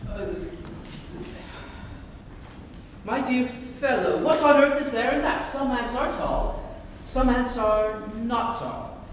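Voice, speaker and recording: Young man as an indignant high-voiced gentleman, cavernous echo. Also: animated, measured pace. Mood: exasperated. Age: teenager. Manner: formal, indignant, theatrical. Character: earnest, indignant, evasive young Victorian gentleman. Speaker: student actor. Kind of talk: dramatic stage reading of a comedy. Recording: far from mic, long hall echo, noise.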